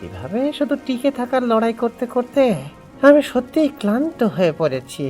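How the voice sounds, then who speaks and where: Woman as weary poor father, fretful, high voice, animated intonation, cartoon story, background music. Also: normal speed. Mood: worried, weary.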